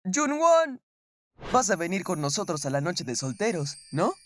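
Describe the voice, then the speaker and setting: A pleading young student acted by a woman in a webtoon dub. The voice swings widely and stretches out slowly, dramatic and imploring. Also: lowish voice, very animated, no echo. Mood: pleading, whiny.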